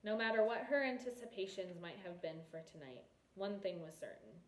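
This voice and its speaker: A woman reading a novel aloud as an expressive scripted narration, recorded in an echoey room. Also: medium pitch, normal speed, slight background noise. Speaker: audiobook reader.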